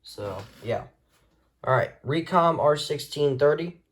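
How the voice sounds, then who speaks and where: A man's voice: fairly high pitch, lively intonation, slow pace. A man talking informally and offhand as he shows off a collection, in an unscripted hobby video. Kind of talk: casual showcase of a radio collection, unscripted.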